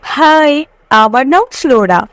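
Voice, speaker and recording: A breezy, modern college girl from a moral-tale cartoon, acted by a woman. Her high voice bounces with lively intonation in a very dry sound, casual and persuasive. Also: a little slow, dry recording. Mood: coaxing. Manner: coaxing, casual.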